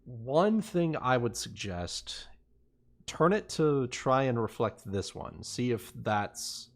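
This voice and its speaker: A man's voice: medium pitch, big pitch swings, slow pace. A man playing an online tabletop game, talking casually with the other players.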